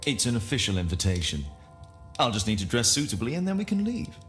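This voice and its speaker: An actor playing a confident, playful Victorian gentleman detective with an English accent and a formal, theatrical manner in a video game. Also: medium pitch, very animated, fast, soft background music. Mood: self-assured. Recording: clear background ambience noise.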